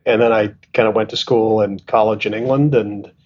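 A man's voice: on the low side, steady tone, normal speed, a little mellow, highs cut off, a little hiss or hum. A middle-aged man from Canada, an asset management executive, telling stories thoughtfully in an interview with many restarts and fillers. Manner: thoughtful, self-deprecating, frequent restarts and fillers. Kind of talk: conversational interview storytelling.

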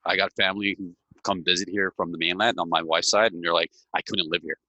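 A man's voice: low voice, fairly even intonation, fast, sharp tone, no echo, lo-fi sound. A middle-aged man from Hawaii, a guest chatting casually and telling stories, often tagging "right?" onto his sentences. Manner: storytelling, many "right?" tags. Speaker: podcast guest. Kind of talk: casual interview chat.

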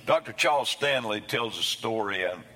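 A man preaching a sermon, his voice hoarse from losing it between services, with a folksy, self-deprecating humor. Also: fairly low pitch, animated, moderate pace, pushed and bright, in a large hall. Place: church sanctuary.

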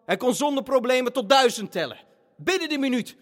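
An actor narrating a family radio play as an excitable storyteller, with dramatic, halting build-ups. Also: male voice, very high voice. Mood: boastful excitement. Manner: animated, halting build-ups with self-corrections. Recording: long hall reverb, music behind. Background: music.

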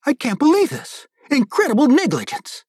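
An indignant male club president from an audiobook thriller, acted by a man narrating. He pitches his words high and hits them hard, accusing and furious. The sound is close and dry. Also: wildly swooping pitch, normal speed, a little mellow, no room sound, full character voice. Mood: outraged. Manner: accusing, emphatic.

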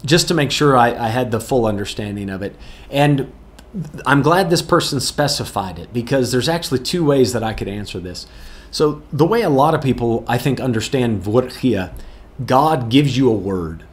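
A man answering viewer questions as a pastor, talking through Bible verses in a plain, earnest way, with chuckles and restarts. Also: mid-range voice. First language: English, not Afrikaans. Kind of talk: casual Bible Q&A teaching.

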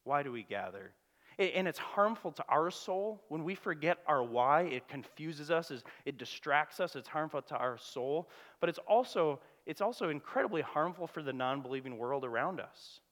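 Male pastor, voice going hoarse and cracking, preaching into a mic in a reverberant sanctuary. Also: a little high, expressive. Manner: prays softly and slowly, then preaches with rising energy and questions. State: losing his voice, cracking. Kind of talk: sermon with spoken prayer. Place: church sanctuary. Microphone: church PA microphone. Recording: long hall reverb.

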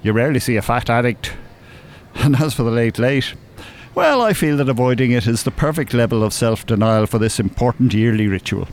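Older Irish male, acted for radio drama: dry, teasing, wordy, animated pitch, light ambience behind. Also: a little fast, slight background noise, close to an everyday voice. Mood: droll, mock-solemn. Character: dry, droll, mock-pious old married man. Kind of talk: naturalistic radio drama acting.

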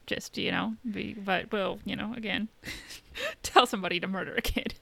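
Bright, creaky-voiced woman explaining casually. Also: medium pitch. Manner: halting, repeats words, says "you know". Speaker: podcast co-host. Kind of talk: casual chat, explaining a TV show.

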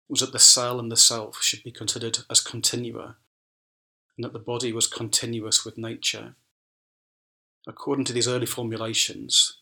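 A man's voice: medium pitch, vocal fry, bright, forceful tone, low-quality recording. A man narrates a scripted academic essay in a formal, scholarly manner. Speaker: academic speaker.

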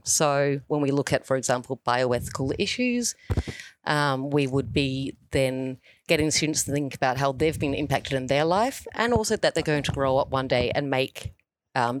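A woman's voice: very deep voice, dry recording. A woman answering interview questions as a teacher, in an earnest and thoughtful way. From New Zealand.

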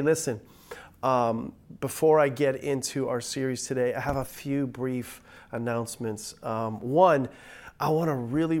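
A middle-aged man, a pastor giving a pre-recorded online church message, sounding a little nasal from a cold, upbeat and joking in a conversational way. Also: mid-range voice.

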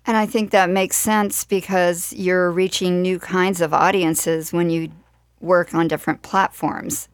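A woman's voice: lowish voice, flat delivery, unhurried, no room sound. A woman hosting a conversational live radio interview from a studio.

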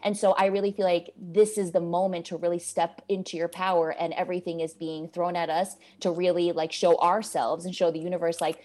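A woman's voice: fairly low pitch, level tone, brisk, few pauses, darkish tone. A woman from New York talking in a casual podcast conversation as a guest, passionate and emphatic about self-growth. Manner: passionate, emphatic, spiritual self-help talk.

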